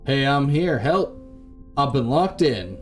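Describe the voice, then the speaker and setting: A man's voice: lowish voice, sing-song, normal speed, a little mellow, soft background music. A man voicing a wary, anxious young woman who is trapped, in a visual novel game. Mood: desperate.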